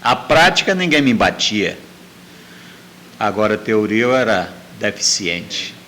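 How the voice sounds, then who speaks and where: A man's voice: fairly low pitch, big pitch swings, a little slow, sharp tone, slight background noise, rough recording. A middle-aged man telling a personal story as an interview guest, repeating phrases for emphasis.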